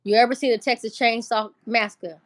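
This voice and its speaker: A woman's voice: on the high side, fairly even intonation, brisk, no room sound. Chatty woman hosting a livestream. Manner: chatty, swears freely, greets chat. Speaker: livestream host. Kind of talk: casual livestream chat.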